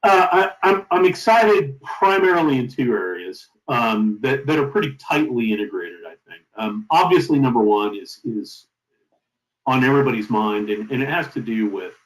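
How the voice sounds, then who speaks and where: A middle-aged man being interviewed as a company founder, giving advice in an emphatic, deliberate conversational way. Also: medium pitch, animated. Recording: roomy, echoing sound.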